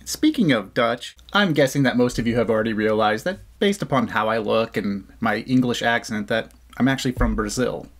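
A man in his thirties from the United States, hosting a casual reaction video at a desk mic, upbeat and full of praise. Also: medium pitch. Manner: upbeat and enthusiastic, quick to praise. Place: small indoor room at a desk. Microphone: desk condenser mic.

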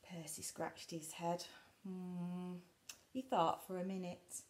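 A woman in her forties reads a picture book aloud to young children in a dramatic way, often dropping to a hush. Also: fairly low pitch, flat delivery, slow. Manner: dramatic, often drops to a hushed whisper. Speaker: school storyteller. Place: living room indoors by a window.

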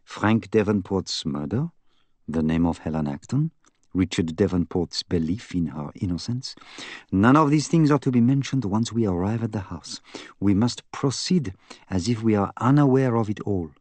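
A middle-aged male Belgian detective for an audiobook. He has a French-speaking Belgian accent and speaks with fussy, formal precision. He is earnest and gravely insistent, in a dry recording. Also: on the low side, expressive, moderate pace, darkish tone, no echo. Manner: precise, formal, emphatic phrasing. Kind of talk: audiobook character acting.

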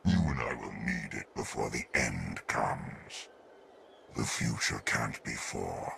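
An actor playing a pompous, decadent alien emperor in a video game, with a gravelly, booming, theatrical voice that slides down to whispers as he savors his words. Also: takes it slowly, fairly bright tone, slight background noise, exaggerated voice. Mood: grandiose. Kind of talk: video game cutscene dialogue.

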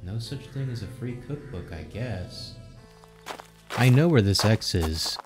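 A man as an exasperated, very expressive young wanderer. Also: on the low side, a little slow, music in the background. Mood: casual. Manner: casual, sarcastic, incredulous. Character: exasperated, easily startled young male wandering warrior. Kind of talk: animated comic dub acting.

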